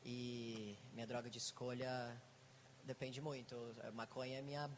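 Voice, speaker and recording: A Brazilian man in his twenties introducing himself as a psychologist in a group, relaxed with hesitant fillers. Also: mid-range voice, normal speed, in a large hall, slight background noise. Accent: Brazilian Portuguese. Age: 20s. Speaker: psychologist, harm reduction worker.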